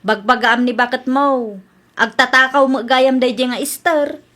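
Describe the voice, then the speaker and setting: A radio-comedy wife, nagging and suspicious, acted by a woman in a very high, piercingly bright voice. She scolds and insists, pressing every accusation. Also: steady tone, moderate pace. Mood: accusing. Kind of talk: Ilocano radio comedy drama acting.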